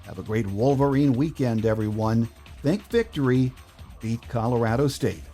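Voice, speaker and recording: A man with an American accent hosts a sports podcast. His voice is mellow and warm, at a middling pitch, as he walks listeners through the game preview. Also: expressive, moderate pace, faint music behind. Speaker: podcast host.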